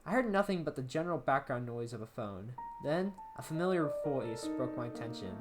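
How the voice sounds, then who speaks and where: A woman reading a first-person horror story as an amateur narrator, expressive and dramatic, letting phrase endings drop to a hush. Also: a bass voice, fairly quick. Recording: soft guitar music behind the voice. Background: plucked guitar music.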